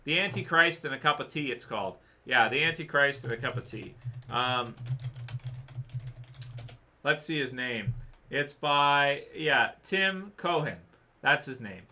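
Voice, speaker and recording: A man with a very bright, forceful tone talks loosely through chat questions on a livestream. He pauses, restarts and fills gaps with "um" and "uh". His voice is wearing thin, as he says he is losing it. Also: medium pitch, expressive. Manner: rambling, hesitant, many fillers and restarts. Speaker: livestream host answering chat.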